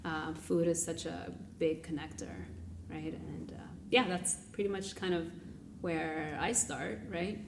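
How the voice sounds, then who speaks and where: A woman from Kenya with a deep voice for a woman, answering as a guest. She talks reflectively with frequent pauses, recorded slightly far from the mic in an echoey room. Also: steady tone, vocal fry. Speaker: guest, food business founder. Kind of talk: reflective casual interview talk. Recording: roomy, distant mic, background noise.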